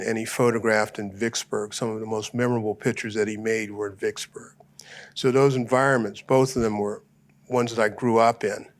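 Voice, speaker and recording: An older American man, a photographer and professor, reflectively telling the story of his work in a public museum conversation. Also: lowish voice. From United States.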